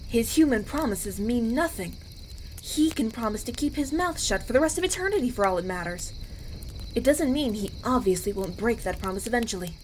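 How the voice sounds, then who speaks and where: A woman's voice: a little high, animated, fairly quick, a little mellow, slight background noise, lightly acted, poor audio. A woman playing a stern, unyielding, devout village elder in a fantasy audio roleplay, speaking formally and solemnly. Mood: stern, dismissive.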